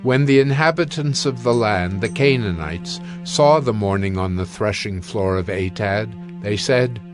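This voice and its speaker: A man narrating scripture in a solemn, measured and formal scripted style. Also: fairly low pitch, music in the background.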